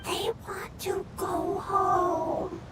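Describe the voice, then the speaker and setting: A woman voicing a small, earnest, childlike creature in a video game, speaking in halting, simple phrases. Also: very high-pitched, steady tone, heavy background noise, heavily acted. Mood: pleading. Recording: loud game music behind.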